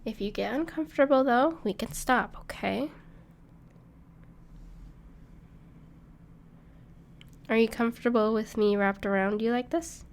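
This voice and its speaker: A gentle dragon in human form, acted by a woman, speaks to the listener as a close friend in an audio roleplay. Her voice sits a little high and often breaks into creaky fry. She is reassuring and playful, with a bashful, slightly hesitant way of talking. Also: brisk. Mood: cozy, reassuring. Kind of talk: soft-spoken intimate audio roleplay to the listener.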